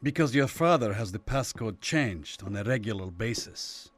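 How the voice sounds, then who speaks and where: A courtly, menacing Cold War official. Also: male voice, on the low side, expressive. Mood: coolly menacing. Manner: formal, measured, condescending. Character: courtly, menacing Lithuanian communist official and chess master. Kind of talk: Cold War radio drama acting.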